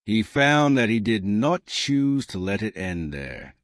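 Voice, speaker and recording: A man reading a historical romance as scripted narration, in measured, deliberate, literary phrasing. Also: fairly low pitch, very animated, no room sound, clean studio sound. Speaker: audiobook narrator.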